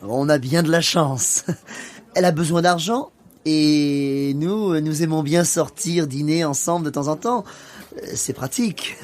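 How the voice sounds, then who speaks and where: A man's voice: on the high side, unhurried, natural voice. A man playing a friendly, welcoming French husband at dinner with friends in a scripted language-course radio play. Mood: friendly, relaxed. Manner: sociable, welcoming.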